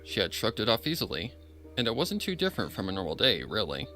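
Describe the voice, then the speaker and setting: A man's voice: lowish voice, huge pitch swings, quick pace, pushed and bright, soft background music. A man reading a horror story in a dramatic, scripted narration style.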